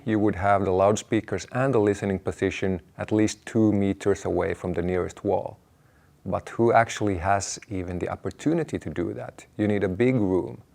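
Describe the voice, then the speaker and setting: A man hosting an explanatory video, talking to viewers in a measured, deliberate way, laying out his points in short phrases. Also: fairly low pitch, creaky, a little mellow. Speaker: host of an audio hobby video channel.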